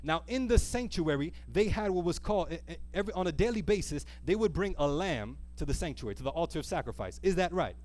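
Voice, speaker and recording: A man's voice: a little high, expressive, fast, hardly any pauses, darkish tone. A man preaching an earnest sermon, reading scripture and putting rhetorical questions to listeners, stretching key phrases for emphasis. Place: live church service.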